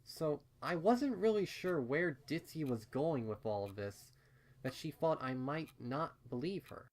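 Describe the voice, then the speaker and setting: A man's voice: on the high side, animated, unhurried, darkish tone. A man reading fan fiction aloud as a first-person story narration.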